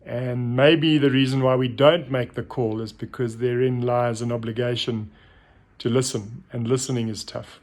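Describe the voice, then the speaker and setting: A man's voice: mid-range voice, fairly even intonation, moderate pace, darkish tone. Middle-aged male host, mid-range voice, for an informal spoken reflection; thoughtful, hesitant delivery. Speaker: host of a reflective story series.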